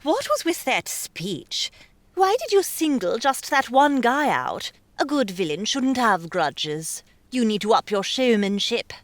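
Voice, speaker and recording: Imperious princess, brash and bossy, very animated pitch, dry studio sound. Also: female voice, a little slow, dry recording. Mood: bossy, impatient. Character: bold, imperious princess gamer, flowery and impatient. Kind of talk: dramatized audiobook acting.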